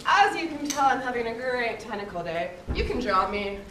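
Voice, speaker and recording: A woman's voice: a little high, big pitch swings, normal speed. A young woman voicing a regal, boastful, teasing sea anemone queen in a live children's puppet show. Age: young adult. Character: haughty, playful female sea anemone queen. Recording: echoey hall, distant mic, noisy background.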